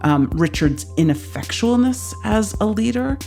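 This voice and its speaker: A woman's voice: medium pitch, expressive, a little slow, gravelly, warm tone, faint music behind. A woman speaking as an expert commentator, explaining analytically in an interview.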